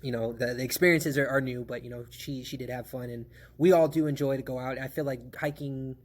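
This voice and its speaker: A man's voice: medium pitch, steady tone, brisk. A man in his thirties hosting a casual podcast, easygoing and chatty, laughing easily and swearing casually. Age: 30s. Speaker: podcast host.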